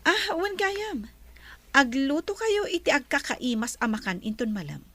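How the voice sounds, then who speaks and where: A woman's voice: high voice, sing-song, moderate pace, a bit bright, exaggerated voice. A woman playing a bossy, haughty young mistress of a mansion in an Ilocano radio drama, with an Ilocano Filipino accent.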